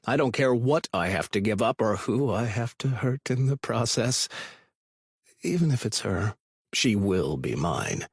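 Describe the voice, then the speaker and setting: A man playing an obsessive, menacing stalker in a thriller audiobook, husky and deliberate in manner. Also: medium pitch, expressive, no room sound, clean studio sound. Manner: slow, deliberate, possessive. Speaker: audiobook narrator. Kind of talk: first-person thriller audiobook narration.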